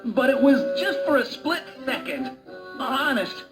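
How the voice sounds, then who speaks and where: High-pitched, flustered wolf played by a woman. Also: expressive, measured pace. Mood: flustered, protesting. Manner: hesitant, stammering protests. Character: earnest, flustered male wolf, friend of a goat. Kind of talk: animated cartoon dialogue. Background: music.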